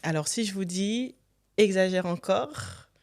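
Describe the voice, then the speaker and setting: Slow, formal woman talk show host. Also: mid-range voice, a little mellow. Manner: slow, welcoming, formal address. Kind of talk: hosting an interview.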